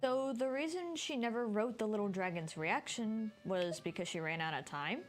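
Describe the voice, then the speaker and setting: A woman livestreams a game with casual, conversational commentary. Her voice sits at a middle pitch, and faint music plays in the background. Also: moderate pace.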